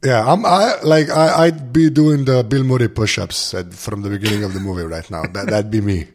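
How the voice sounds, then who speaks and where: A man with a husky, gravel-edged voice talks casually as a podcast guest. He sounds tired and barely awake, wandering from clause to clause in an informal, self-deprecating way. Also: lowish voice, brisk. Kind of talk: casual chat.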